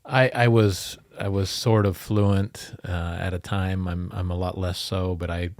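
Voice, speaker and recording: A man's voice: sits low, level tone, unhurried, darkish tone, dry recording, studio quality. A man chatting casually as a therapist and podcast co-host, plainspoken in his replies. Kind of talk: casual conversational chat.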